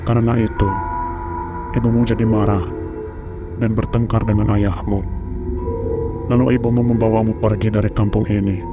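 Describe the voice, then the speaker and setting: A man's voice: on the low side, little pitch movement, normal speed, pauses often, close to an everyday voice. A man voicing a secretive, evasive older village uncle in a horror cartoon, explaining in a matter-of-fact way. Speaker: voice actor. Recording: clear music under voice.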